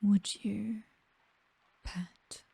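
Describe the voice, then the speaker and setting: A woman with a British accent playing a possessive, seductive vampire mistress in a whispered, breathy ASMR roleplay, doting yet condescending and coolly menacing. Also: mid-range voice, fairly even intonation, extremely slow, warm tone, dry recording, heavily acted.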